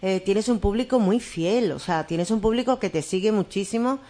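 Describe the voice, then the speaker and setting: A woman hosting a radio show, asking long, friendly questions with plenty of "eh" fillers. Her voice is mid-pitched and even. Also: moderate pace. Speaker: radio host. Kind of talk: radio interview.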